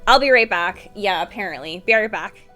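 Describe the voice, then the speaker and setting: A woman streamer giving casual live reactions to a game in short, chatty, expressive asides. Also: medium pitch, brisk. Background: game music.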